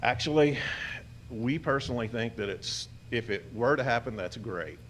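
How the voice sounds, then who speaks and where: A man and local business owner making a public comment at a city meeting, earnest and polite with many pauses. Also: lively intonation, fairly bright tone, a little hiss or hum. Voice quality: gravelly, low voice with hesitant fillers. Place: city commission chamber. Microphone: podium microphone.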